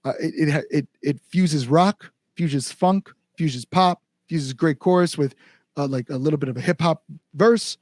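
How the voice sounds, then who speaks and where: Guy being interviewed, kinda high voice, rambles sincerely, repeats himself, no room echo at all. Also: a little slow, darkish tone, studio quality. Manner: rambling, earnest, repeats words for emphasis. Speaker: band frontman and songwriter, podcast guest. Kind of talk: casual podcast interview chat.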